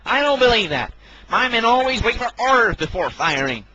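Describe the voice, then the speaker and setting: A man playing a bossy, blustering military commander who is quick to blame, in an amateur audio drama. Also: very high voice, very animated, bright, forceful tone, highs cut off, heavily acted. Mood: outraged.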